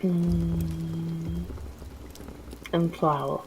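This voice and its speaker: A woman's voice: sits low, little pitch movement, very slow, a little mellow, slight background noise. A middle-aged woman with a Norwegian accent, talking through a city-building game in a rambling, easily distracted way with lots of restarts. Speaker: gameplay streamer. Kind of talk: casual let's-play commentary. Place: home.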